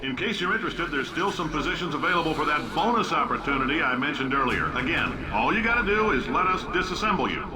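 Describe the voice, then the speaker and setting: A man playing a brash, boastful male company boss who talks in loud, blunt salesman bluster over recorded announcements. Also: fairly high pitch, lively intonation, quick pace, few pauses, natural voice. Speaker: game character. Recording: old announcement recording with audible noise.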